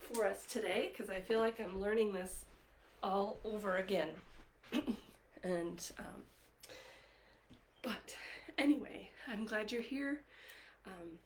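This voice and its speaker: Female children's story time host, higher-pitched and unhurried, encouraging, with some creak in the voice. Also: slight background noise. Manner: encouraging, gently questioning, addressing children directly. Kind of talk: children's live online story time, talking to young viewers.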